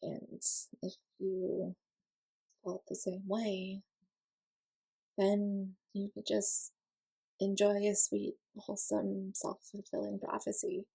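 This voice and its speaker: A woman's voice: medium pitch, monotone, slow, creaky, pauses often, dark tone, breathy edge, full character voice, low-quality recording. A woman playing an awkward, sarcastic young friend with secret feelings in a roleplay audio, speaking casually, hesitantly and with self-deprecating wit. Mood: sheepish, wry. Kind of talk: scripted intimate roleplay audio, casual confession.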